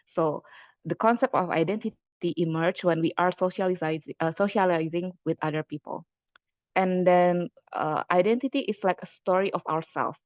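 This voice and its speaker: A woman from Indonesia giving a webinar lecture as a lecturer, speaking conversationally with frequent fillers and pauses. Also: on the low side, darkish tone, dry recording, dull audio. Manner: hesitant, many fillers, conversational. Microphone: computer mic on a video call.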